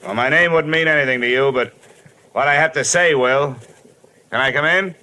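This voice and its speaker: A man's voice: on the high side, moderate pace, pushed and bright. A man playing a wry, hard-boiled investigator in an old radio detective drama, with clipped, sardonic delivery. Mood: challenging. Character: wry, hard-boiled male insurance investigator narrating his case. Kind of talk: old-time radio detective narration and dialogue.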